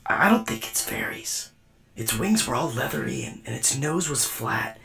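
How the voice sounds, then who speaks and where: A man's voice: mid-range voice, very animated, moderate pace, vocal fry. A man voicing an earnest, puzzled, naive robot companion in a radio drama.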